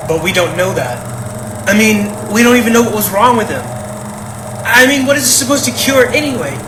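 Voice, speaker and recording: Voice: medium pitch, expressive, brisk, lightly acted. An actor playing an uneasy, doubtful grown child in a naturalistic audio drama, talking in a hesitant, conversational way. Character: uneasy, doubtful grown child who suspects dad of murder. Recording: roomy, echoey with clear background noise.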